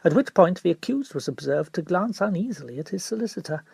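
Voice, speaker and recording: A man reading literary narration for an audiobook in a formal, expressive storytelling manner. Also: high voice, quick pace, creaky, slightly rough, no echo.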